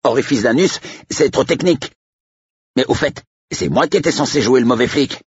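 An excitable, joking sidekick, performed by a male narrator in an audiobook. He sounds nervous and chatty, his voice pushed far up from the narrator's deep register, with a husky edge. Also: normal speed, no room sound, muffled recording. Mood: wry, calming. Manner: anxious, comically chatty.

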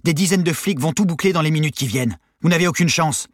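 A man voicing a tough, defiant young prison guard in an audiobook, with cutting, forceful delivery. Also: a little high, quick pace, no echo, studio quality, voice pushed up, lightly acted. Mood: defiant, warning. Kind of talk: dramatic audiobook character voice.

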